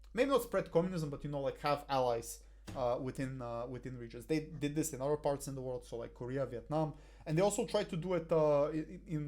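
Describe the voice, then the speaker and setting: A young man coaching debaters over his slides, recorded on a headset in a small room. His voice sits at a middle pitch with a warm, mellow tone. He talks in a halting way, full of fillers and restarts. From Bulgaria. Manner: hesitant, many fillers and restarts.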